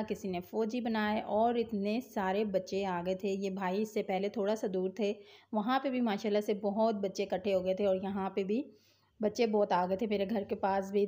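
A woman hosting her own vlog, talking in a chatty, unhurried way with small fillers. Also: medium pitch, warm tone. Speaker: vlog host. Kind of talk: casual vlog voice-over.

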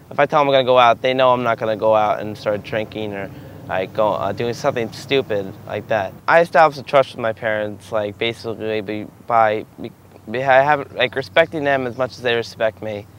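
A man's voice: lowish voice, clean tone. A teenage boy answering interview questions outdoors on a sports field, talking loosely with lots of fillers. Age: teens. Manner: rambling, many fillers. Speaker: spotlight guest. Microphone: lapel.

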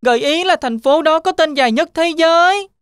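A woman's voice: very high-pitched, fairly quick, no echo, clean studio sound. A woman voicing a sweet, eager little girl goat with a childlike, inquisitive manner in a children's cartoon. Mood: curious.

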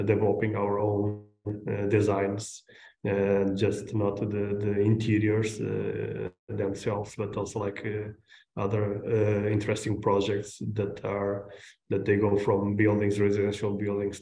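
A man in his forties with a Portuguese accent, giving an informal online lecture in a halting, hesitant way. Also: lowish voice, creaky, a little mellow. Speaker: guest lecturer, architect. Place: indoors on a video call, roomy sound. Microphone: video call at desk distance. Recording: roomy, echoing video-call sound.

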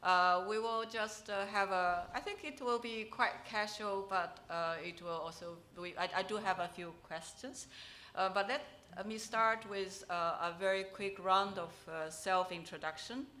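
A woman's voice: medium pitch, steady tone, measured pace, bright, forceful tone. A woman from China moderating a film festival panel on stage, hesitant, with many restarts and fillers. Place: event hall with a panel on stage.

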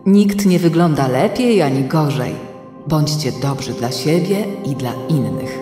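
Calm, solemn female guardian for a kids' audio play, low and unhurried, reverberant, over music. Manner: measured, solemn, reassuring. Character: calm, benevolent female protector reassuring children. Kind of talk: children's audio drama acting. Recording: reverberant hall with music behind.

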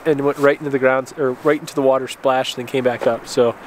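American dude rambling casually to camera outside, average pitch, bit of outdoor noise behind him. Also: male voice, steady tone, fast. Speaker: outdoor vlogger. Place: outdoors in mountain wilderness. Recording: outdoor ambient noise, wind.

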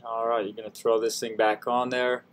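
A man's voice: mid-range voice, flat delivery, normal speed. A man giving a casual, folksy how-to voice-over in an informal, self-deprecating way. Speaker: DIY tutorial host.

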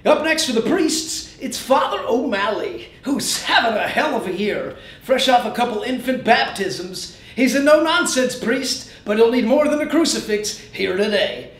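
A man playing an excitable sports-style commentator, calling play-by-play. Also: very high voice, moderate pace, hardly any pauses. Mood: announcing. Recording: roomy echo.

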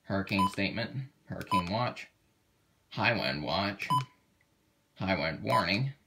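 A man giving a casual, halting how-to walkthrough, thinking aloud as he demonstrates a product. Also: sits low, lively intonation, creaky. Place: indoors at a table. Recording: very noisy home video, clicks and beeps.

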